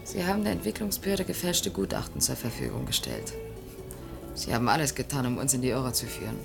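Determined young female plotter: low, gravelly, commanding, radio drama with clear music underneath. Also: lively intonation, exaggerated voice. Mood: conspiratorial, determined. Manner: brisk, commanding, conspiratorial. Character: bossy, determined young Brazilian conspirator, daughter of an official. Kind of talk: German radio play acting. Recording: studio radio play with prominent music.

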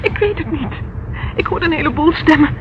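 A woman's voice: high voice, expressive, a little fast. An actress playing an anxious, devoted young woman who is brave under danger, in a radio drama. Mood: breathless relief. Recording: muffled, noisy old radio drama recording.

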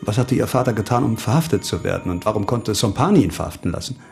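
An actor playing a weary, terse investigator who asks probing questions in a German radio crime drama. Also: male voice, fairly low pitch, expressive, fast talker, creaky, a little mellow. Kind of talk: German radio play acting. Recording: music under the voice.

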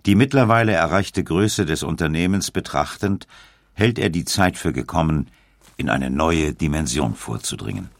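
A man reading scripted documentary narration in a measured manner, with dramatic pauses. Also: rumbling low, lively intonation, creaky, dry recording, studio quality.

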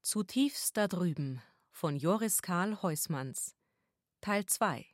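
Slow, low-voiced female radio announcer. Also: lively intonation, no echo. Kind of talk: scripted radio title announcement.

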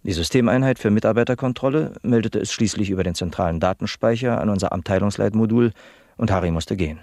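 A man's voice: fairly low pitch, fast, a little mellow, no echo, studio quality, close to an everyday voice. An actor playing an earnest, literal-minded young male office apprentice in a satirical radio play, matter-of-fact and officious. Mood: earnest, reporting. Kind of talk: satirical radio drama acting as an interviewee.

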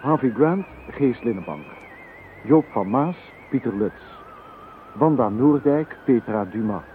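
A man's voice: medium pitch, expressive, a little hiss or hum. A man formally reading the closing credits of a radio play. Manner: formal, measured. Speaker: announcer. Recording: tinny radio recording with music.

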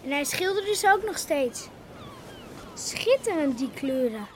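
An actress playing an eager, hopeful young woman in expressive, natural Dutch radio drama dialogue. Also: sits high, unhurried, slight background noise. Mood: delighted, wistful.

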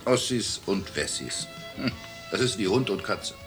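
A cynical British journalist. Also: male voice, lowish voice, animated, a little slow. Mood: sardonic. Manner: sardonic, worldly, lecturing. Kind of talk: 1980s radio play acting. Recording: music underneath.

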